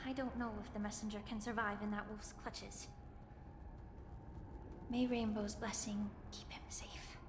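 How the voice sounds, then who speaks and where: A woman playing a gentle, solemn, caring character in a fantasy video game, soft and earnest. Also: medium pitch, little pitch movement, a little slow, long pauses, background noise, natural voice. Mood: worried, prayerful. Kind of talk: dramatic video game acting. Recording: echoey hall reverb under music.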